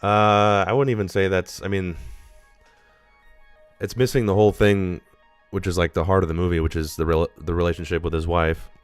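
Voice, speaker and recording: Deep-voiced man streaming casual movie talk. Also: fairly even intonation, moderate pace, clean tone, no echo, soft background music. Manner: rambling, casual, swears freely. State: sick, a little nasal. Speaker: movie review host. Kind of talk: casual live-stream commentary.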